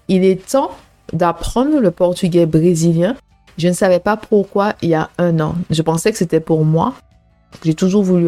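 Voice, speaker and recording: Low, mellow woman, casual faith podcast. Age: 30s. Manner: casual and personal, many "euh" and "hein" fillers, preaching tone. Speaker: podcast host. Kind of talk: faith podcast talk addressed to listeners.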